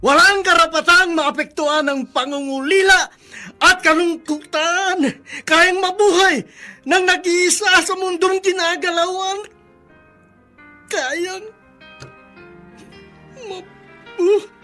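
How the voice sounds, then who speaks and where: An older army colonel with a Filipino accent, proud and lonely. His delivery is slow, bright and forceful, declaimed with anguish in radio drama style over music. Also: very high-pitched, steady tone, heavily acted. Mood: anguished, defiant.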